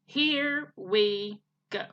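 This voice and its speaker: Woman voicing an anxious little gosling boy, bright. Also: medium pitch, drawn-out words, lo-fi sound. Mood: nervous. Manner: nervous, childlike. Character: anxious young male gosling, devoted son.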